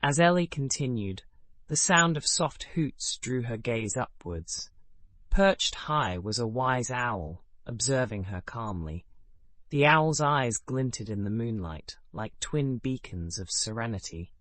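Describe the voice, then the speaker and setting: A man narrating a scripted bedtime story in a calm, measured way, his sentences falling away at the ends. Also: medium pitch, no echo.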